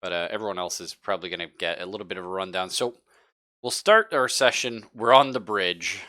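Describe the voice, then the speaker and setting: A man's voice: sits low, brisk, pushed and bright, no room sound, studio quality. A man casually narrating a tabletop sci-fi horror game as game master, informal and jokey.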